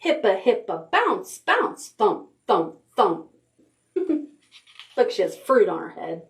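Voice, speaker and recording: A woman leading a preschool storytime as a children's librarian, reading a picture book aloud in an expressive, rhythmic way with drawn-out words. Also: medium pitch, creaky.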